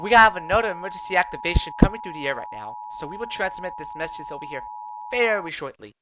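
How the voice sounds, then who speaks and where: A man voicing a panicked news reporter in an amateur drama, agitated, repetitive and halting, on a dull, dry recording. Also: high voice, sing-song, noisy background. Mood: uneasy. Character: panicked male TV news reporter covering a vampire outbreak.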